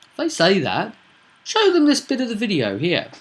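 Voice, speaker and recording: A man talking casually as a game master in an animated, blunt, rambling commentary. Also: high voice, normal speed. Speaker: tabletop game master, video presenter.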